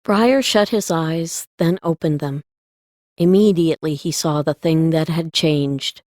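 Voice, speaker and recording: A woman's voice: deep voice, a little slow, darkish tone, no room sound, studio quality. A woman narrating a scripted story as an audiobook reader.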